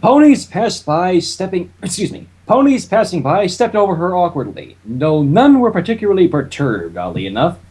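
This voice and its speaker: Husky, animated fan fiction reader. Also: medium pitch, moderate pace, few pauses. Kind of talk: animated story narration with casual banter.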